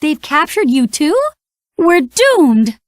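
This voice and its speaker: A woman voicing an excitable male duck sailor, crying out in alarm. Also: sits high, takes it slowly, dry recording, studio quality, voice pushed up, full character voice. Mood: panicky.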